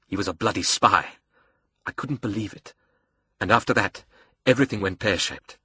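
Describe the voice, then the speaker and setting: A man acts out a young male audiobook villain with a South African accent, bitter and cocky. His delivery is brash and sneering, with a forceful, husky edge and a cruel streak. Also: lowish voice, moderate pace, dry recording, full character voice. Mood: bitter, angry. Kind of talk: audiobook character dialogue.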